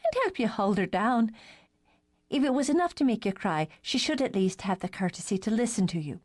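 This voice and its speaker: A woman voicing a soft-hearted, eager young teenage girl with a prim, lilting manner in a storybook reading. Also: on the high side, very animated, a little fast, voice pushed up. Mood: firm.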